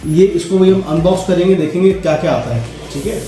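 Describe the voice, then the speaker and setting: A man's voice: sits high, fairly quick, mellow, slight background noise. A man giving a casual, instructional product demo, speaking directly to viewers and checking in often as he explains each step. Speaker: product demonstrator. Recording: roomy echo, faint music.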